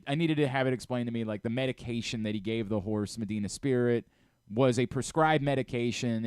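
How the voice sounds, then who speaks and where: A man hosting a casual sports talk radio show, telling stories in an animated way and acting out exchanges. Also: mid-range voice, smooth, no echo, studio quality. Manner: animated storyteller who re-enacts exchanges. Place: radio studio.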